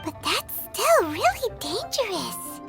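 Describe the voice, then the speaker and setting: A woman's voice: very high voice, big pitch swings, slow, music in the background, heavily acted. A woman voicing a tiny, childlike fairy companion in a video game, naive, curious and earnest. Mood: concerned, curious. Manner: childlike, puzzled questioning, refers to self by name.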